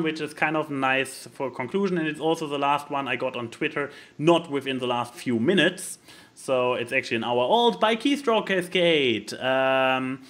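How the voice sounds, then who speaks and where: Male podcast co-host, fairly high voice, very lively intonation, casual, emphatic, repeats words for effect. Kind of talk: casual chat.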